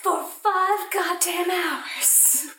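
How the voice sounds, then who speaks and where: A woman's voice: very high voice, steady tone, bright, forceful tone, far from the mic, pitched above the performer's own voice, heavily acted. A woman playing an exasperated, melodramatic sister in an improvised comic murder mystery radio play. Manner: dramatic, halting, pleading and exasperated. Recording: roomy echo, off the mic.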